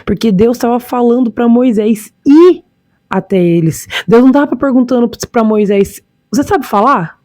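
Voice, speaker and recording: Brazilian female preacher, fairly high voice, informal and exhortative, leaning on repetition, very dry sound. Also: moderate pace, warm tone, dry recording. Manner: exhortative, repeats phrases for emphasis, rhetorical questions. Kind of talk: informal sermon with scripture reading.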